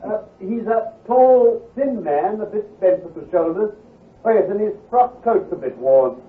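An actor playing a brisk, confident, teasing gentleman detective with a British accent, precise and patronizing, in an old-time radio drama. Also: high voice, expressive, husky, heavily acted. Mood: keen, assured. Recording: muffled, lo-fi vintage radio recording.

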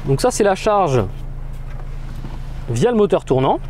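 A man's voice: sits high, sing-song, a little slow, darkish tone, slight background noise. A man in his thirties casually explaining equipment outdoors beside a car, chatty with frequent fillers. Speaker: product demonstrator. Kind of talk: casual product demo.

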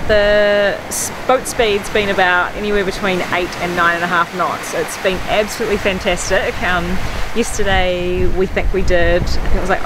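A woman's voice: medium pitch, background noise. A young woman chatting to a handheld camera on a sailboat at sea, upbeat and full of ums. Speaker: vlogger sailing with her partner. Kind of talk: casual vlog narration to camera. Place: cockpit of a sailboat at sea, outdoors. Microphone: handheld camera at arm's length.

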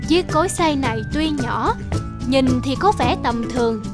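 A woman voicing a kindly, frail old sage who speaks slowly and deliberately in a cartoon folk tale. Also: sits high, animated, over music. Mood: kindly, solemn.

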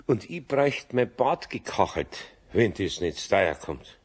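Casting: genial, grumbly older Bavarian carpenter, husky, lively intonation, slightly evasive. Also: male voice, on the low side, measured pace, exaggerated voice. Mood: awkward, genial. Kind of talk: children's radio play acting.

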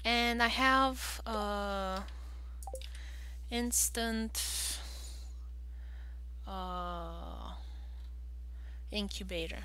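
A woman's voice: mid-range voice, drawn-out words, pauses often, bright, forceful tone, slight background noise. A woman giving casual game walkthrough commentary, thinking aloud in a hesitant way. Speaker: gaming video host.

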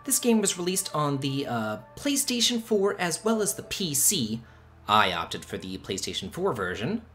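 A middle-aged man hosts a casual let's play, gushing and thinking out loud. His intonation swings widely over clearly audible music. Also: on the high side, sing-song, moderate pace. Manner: gushing, exclamatory, thinks aloud. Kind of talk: casual gameplay commentary.

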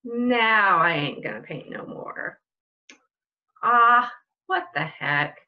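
A middle-aged woman reading a children's picture book aloud in a sing-song way, with drawn-out, emphatic delivery. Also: mid-range voice, animated, phone-quality sound. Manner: drawn-out build-ups with loud emphatic punch words.

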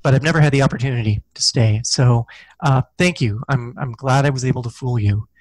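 Relaxed middle-aged man on a headset. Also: mid-range voice, a little fast, no room sound, poor audio. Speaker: author guest. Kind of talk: casual interview chat. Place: small room with a corkboard. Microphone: headset mic on a video call.

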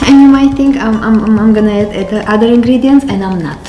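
A woman's voice: a little high, fairly quick, over music. Chatty Armenian-born woman in an echoey kitchen. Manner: chatty, rambling, self-correcting, laughs at herself. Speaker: cooking show host. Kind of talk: casual cooking demonstration. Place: large bright home kitchen. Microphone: handheld camera close up, then camera on counter.

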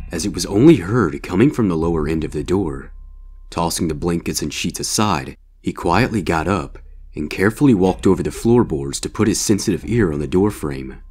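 A man's voice: deep voice, a little mellow, husky. A man reading a scripted horror story as its narrator.

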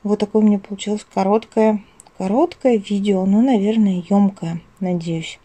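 A woman with a soft-edged, mellow voice hosts a homely craft vlog. She chats casually at a middle pitch, rambling and breaking off her own sentences with affection. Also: fairly even intonation, moderate pace, soft background music. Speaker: craft vlogger, channel host. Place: at home, indoors.

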